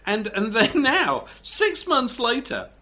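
A man hosting a podcast and chatting in a wry, jokey way. His voice is high and bright. His pitch moves a lot. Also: wildly swooping pitch, slow. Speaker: podcast host. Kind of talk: casual chat.

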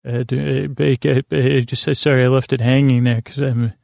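A man with a creaky voice hosting a podcast, improvising a rambling bedtime monologue, halting and stammering as he repeats words. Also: medium pitch, level tone, a little mellow, no echo, clean studio sound. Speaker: podcast host.

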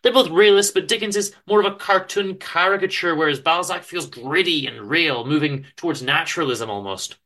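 A man's voice: fairly high pitch, brisk, few pauses, pushed and bright, voice pushed up. A man voicing a thoughtful young literary friend with a casual, mildly hesitant manner in a story reading. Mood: conceding, thoughtful.

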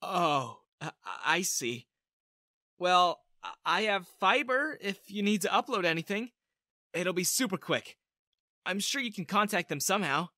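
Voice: medium pitch, very animated, unhurried, sharp tone, no echo, clean studio sound, voice pushed up. A narrator voicing a flustered, timid, reluctant ordinary character who stammers and hesitates in storybook dialogue. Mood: nervous, hesitant. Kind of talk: audiobook-style character dialogue.

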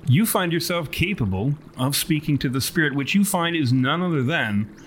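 A man's voice: a little high, animated. Wordy male game master, faint background noise. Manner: wordy, erudite, deliberate phrasing. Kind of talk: improvised tabletop game narration.

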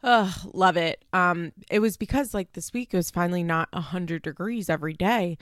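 A woman's voice: on the low side, moderate pace, fairly bright tone, dry recording, clean studio sound. A woman hosting a podcast in casual chat, sounding stuffed up and congested, talking loosely with lots of "like" and the odd swear word. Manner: chatty, frequent "like" fillers, swears casually. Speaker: podcast host.

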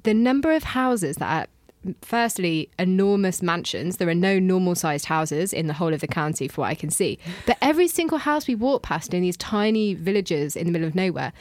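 Woman in her 30s hosting a podcast, kinda low voice, laughs a lot, dry close sound. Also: expressive, fairly quick, hardly any pauses, no echo. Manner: chatty, laughs often. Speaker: podcast host. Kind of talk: casual chat.

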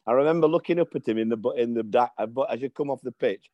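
A man's voice: mid-range voice, fast talker, muffled recording. An older man, telling football stories in casual chat and acting out the dialogue. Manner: animated storyteller, acts out dialogue.

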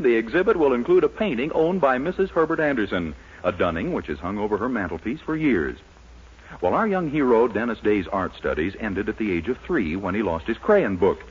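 An American man announcing in a scripted 1940s radio style, with emphatic, polished salesmanship. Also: mid-range voice. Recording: muffled, rough 1940s radio recording.

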